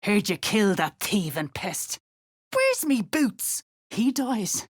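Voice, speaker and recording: A woman playing a gruff, threatening dwarf sergeant in a video game, coarse and slangy in her speech. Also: medium pitch, very animated, slow pace, exaggerated voice. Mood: gruff, urgent. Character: gruff, menacing female duergar sergeant driving slaves. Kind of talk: video game character acting.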